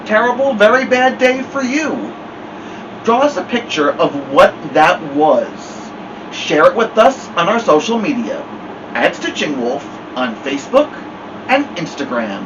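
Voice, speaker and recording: A man hosting a children's story time, speaking with dramatic emphasis as in a picture book read-aloud. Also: on the high side. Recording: dull, distant mic with clear background noise.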